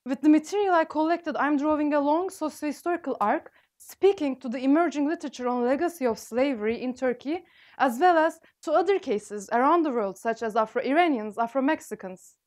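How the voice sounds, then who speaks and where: A woman in her thirties presents her sociology dissertation research to an audience in a library seminar room, telling it clearly like a story. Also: high-pitched, fairly quick, darkish tone. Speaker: graduate student presenter. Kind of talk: thesis talk.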